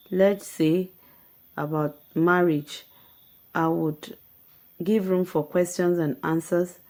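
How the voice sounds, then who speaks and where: Slow-speaking woman with a lowish voice. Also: darkish tone. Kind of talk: prepared spoken answer, explanatory.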